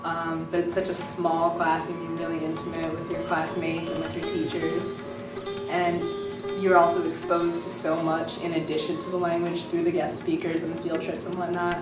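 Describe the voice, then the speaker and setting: Young female student, low-pitched, eager and chatty; roomy, distant mic with music under. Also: normal speed. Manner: enthusiastic, chatty with many fillers. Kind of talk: interview, casual reflection. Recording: echoey room from far off, music behind.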